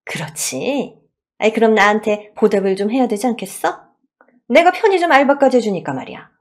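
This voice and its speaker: A woman's voice: on the high side, animated, fairly quick, lightly acted. A woman voicing a greedy, bossy middle-aged mother-in-law in a radio-style story, nagging and condescending. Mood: wheedling, demanding.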